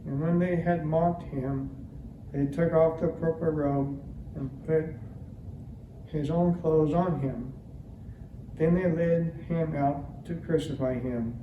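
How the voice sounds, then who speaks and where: Slow-reading man in an echoey church. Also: fairly high pitch, steady tone, phone-quality sound. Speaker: church member reading scripture. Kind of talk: solemn scripture reading at a church service. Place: large indoor church, distant mic. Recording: echoey church from far off, clear noise.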